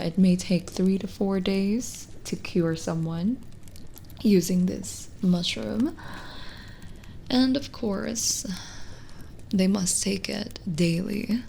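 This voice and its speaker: A woman playing a kindly, lonely young witch healer in a soft, intimate ASMR roleplay, explaining gently and a little hesitantly. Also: mid-range voice, gravelly, a little hiss or hum.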